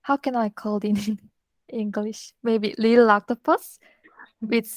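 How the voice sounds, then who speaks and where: A woman's voice: on the high side, steady tone, no echo. A woman introducing herself shyly in an online chat. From South Korea. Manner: shy, slow, laughing. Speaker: participant. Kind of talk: casual online group chat.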